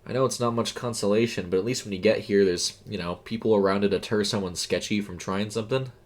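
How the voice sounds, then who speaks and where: A man's voice: lowish voice, fast talker, lightly acted. Man playing an easygoing young night-shift worker. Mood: reassuring. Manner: casual, hesitant, conversational. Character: easygoing, considerate young male fast food night worker.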